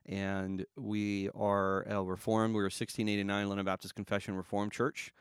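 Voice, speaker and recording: A man in his thirties from Southern California, a pastor talking casually as a podcast guest, relaxed and rambling with fillers and chuckles. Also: lowish voice, normal speed, clear, resonant tone, no room sound, studio quality.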